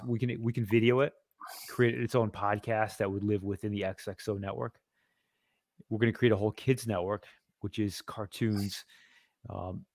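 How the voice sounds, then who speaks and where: Male co-host, low and flat voice, earnest and measured, dry video call sound. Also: dry recording. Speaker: co-host and founder of the platform. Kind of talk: casual pitch of a project.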